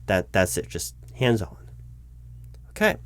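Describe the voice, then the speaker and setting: A man playing a patient, probing hiring manager in a one-sided interview roleplay, speaking aloud in a halting, improvised way and repeating words. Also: sits low, wildly swooping pitch, slight background noise, full character voice. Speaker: job interviewer. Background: computer keyboard typing.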